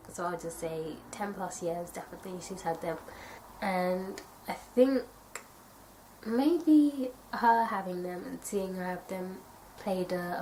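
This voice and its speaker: A young woman from the UK chatting casually to camera in a tag video, her nose stuffy from a cold and her voice a little raspy. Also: lowish voice, a little mellow, a little hiss or hum, rough recording. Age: young adult. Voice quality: slightly raspy. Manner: chatty, thoughtful, rambling with fillers. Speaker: vlogger.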